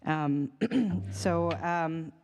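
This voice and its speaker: Halting woman on a hall panel. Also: low voice, steady tone, a little mellow, lo-fi sound, a little hiss or hum. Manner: halting, laughs often. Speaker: panelist and performer. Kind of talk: panel discussion answers. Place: symposium hall.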